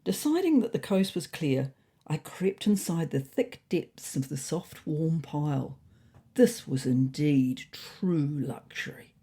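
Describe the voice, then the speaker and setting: A woman's voice: deep voice, lively intonation, takes it slowly, dark tone, breathy edge, heavily acted. A woman voicing a fussy, anxious male cat who narrates his comic diary in a prim, wordy, faintly indignant way. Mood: furtive, contented.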